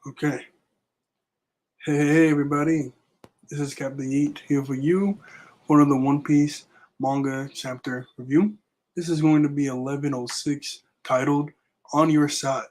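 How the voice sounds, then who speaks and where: Raspy-voiced young man recapping casually. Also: on the high side, fairly even intonation, normal speed, pauses often, darkish tone, rough recording. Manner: casual, rambling recap with fillers. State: raspy voice recovering from illness. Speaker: manga review vlogger. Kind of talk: casual chat.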